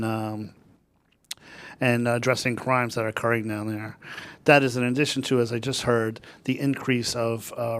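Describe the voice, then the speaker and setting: Male police chief, formal board report, halting delivery, gravelly flat voice. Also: mid-range voice. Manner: halting, many fillers and restarts. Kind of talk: formal report at a public meeting. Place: boardroom meeting.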